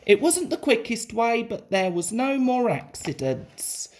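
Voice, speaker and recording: A woman reading a children's storybook aloud in an expressive, deliberate way. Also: on the high side. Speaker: storybook reader.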